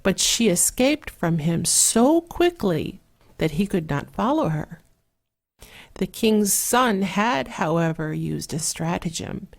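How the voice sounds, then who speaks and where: A soft-spoken woman narrating a fairy tale in a measured, relaxing way, with an 8D surround panning effect. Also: lowish voice. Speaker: storyteller.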